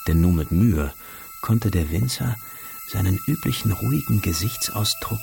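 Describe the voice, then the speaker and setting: A male radio drama narrator with a deep register and plenty of fry. He reads scripted literary narration, with clear hum on the track. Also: expressive, normal speed. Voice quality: creaky.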